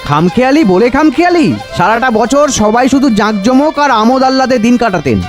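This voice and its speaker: Man voicing a very high-pitched ghostly courtier. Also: animated, a little fast, soft background music. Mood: matter-of-fact. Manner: explanatory, courtly. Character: matter-of-fact male ghostly gandharva courtier, deferential. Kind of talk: cartoon voice acting.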